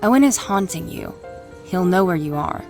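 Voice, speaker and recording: A woman voices an ancient female ghost for a visual novel. Her voice sits a little low, and she speaks in a terse, formal, coolly knowing way. Faint music plays behind her. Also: expressive, a little mellow. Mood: grave. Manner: formal, dry, measured.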